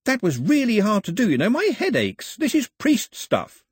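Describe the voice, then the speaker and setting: A man reading aloud and voicing a small, talkative young islander man who rushes and stammers. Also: high-pitched, wildly swooping pitch, breathy edge, no echo, studio quality, voice pushed up, exaggerated voice. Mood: eager.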